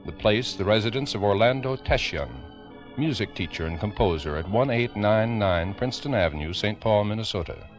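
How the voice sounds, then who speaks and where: A man giving solemn, ominous, deliberate scripted narration in the style of old-time radio drama. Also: fairly low pitch, animated. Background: organ music.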